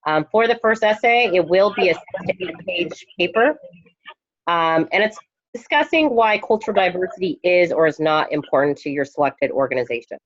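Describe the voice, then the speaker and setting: A lowish-voiced woman lecturing an online class, her voice worn and hoarse as she talks into a headphone mic. She pauses with frequent ums between points. The recording sounds dull and lo-fi. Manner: hesitant, many ums. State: losing her voice. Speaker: instructor.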